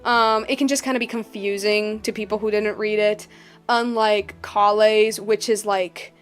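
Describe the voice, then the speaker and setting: A woman giving casual game commentary, thinking aloud in animated, broken bursts. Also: on the high side. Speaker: game streamer.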